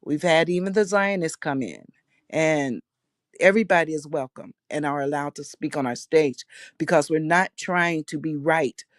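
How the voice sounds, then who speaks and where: A woman's voice: deep voice, moderate pace, no room sound. Talkative woman on a dry phone line. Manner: open, talkative, frequent restarts. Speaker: guest, host of another chat room. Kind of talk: audio chat room discussion. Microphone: phone in a voice chat app.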